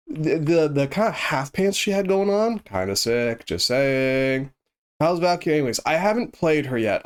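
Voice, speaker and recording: A man's voice: mid-range voice, smooth. A man streaming live, chatting casually with viewers in a rambling, easygoing way while he plays, his voice congested and a bit sniffly. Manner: rambling, easygoing, thinks aloud with many restarts.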